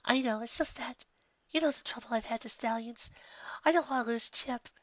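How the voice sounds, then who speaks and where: A man's voice: extremely high pitch, fairly quick, fairly bright tone, dry recording, highs cut off, voice pushed up. Fretful storybook mare performed by a man, raised well above his natural voice, dramatic and exasperated. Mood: anxious, pleading. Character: anxious, fretful female pony confectioner.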